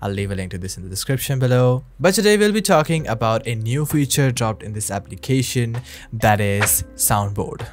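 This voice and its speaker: A man's voice: medium pitch, lively intonation, normal speed. Male tutorial narrator over background music. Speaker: YouTube tech tutorial host. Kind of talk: scripted software tutorial voice-over.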